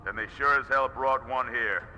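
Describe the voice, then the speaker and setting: A man's voice: medium pitch, moderate pace, lo-fi sound, noisy background, close to an everyday voice. A man playing a stern, older fleet admiral in a video game, giving clipped, authoritative military orders. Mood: urgent command. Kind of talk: dramatic video game voice acting. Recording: loud music and effects behind.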